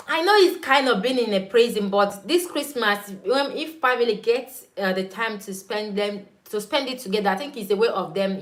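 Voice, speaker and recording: A woman's voice: a little high, a bit bright. A young woman with a Nigerian accent, whose first language is Igbo, chatting casually on a livestream, laughing often and greeting viewers by name. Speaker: YouTube host. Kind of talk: casual livestream chat reading viewer comments.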